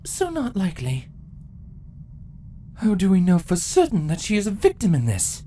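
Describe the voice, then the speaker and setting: A regal fantasy creature queen, acted by a woman, speaks with formal, theatrical poise in a dramatic story. Her voice is slightly low for a female and swings widely in pitch. Faint ambience sits behind it. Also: huge pitch swings, moderate pace, dark tone, slight background noise. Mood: wary. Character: regal female changeling queens and pony in debate. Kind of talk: dramatic story character acting.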